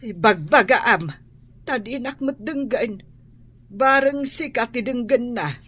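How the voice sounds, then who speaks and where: A woman's voice: a little high, takes it slowly, fairly bright tone, slightly rough, heavily acted. An actress playing a worried, chiding elderly mother in an Ilocano radio drama.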